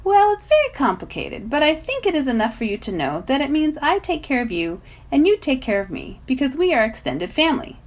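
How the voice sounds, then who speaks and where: Storybook role: ageless male mountain guardian, played by a woman, dignified, courteous, lively melodic phrasing. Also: sits high, fairly quick, few pauses, voice pushed up. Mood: kindly, explaining.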